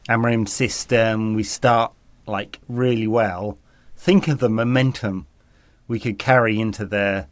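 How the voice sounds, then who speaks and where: A man co-hosting a football podcast, chatting casually with a slight cold that makes him a little raspy, often rambling and correcting himself. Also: mid-range voice, no echo. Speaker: podcast co-host.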